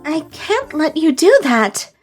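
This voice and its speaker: A woman playing a gentle, troubled young woman in an English manga dub, speaking softly, politely and sincerely. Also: sits high, big pitch swings, takes it slowly, soft background music, full character voice. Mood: shy, polite.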